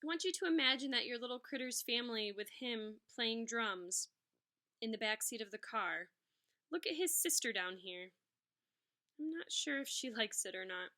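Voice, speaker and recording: A woman with a bright, fairly high voice reads a children's storybook like a teacher. She is expressive and pauses to explain things directly to young listeners. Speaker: music teacher reading aloud to pupils. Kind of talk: children's storybook read-aloud with asides.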